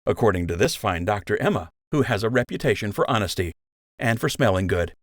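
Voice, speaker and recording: A man's voice: fairly low pitch, animated, fairly quick, no room sound. A man voicing an earnest, self-doubting young male rabbit soldier with dry humour in an audiobook. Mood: dryly joking.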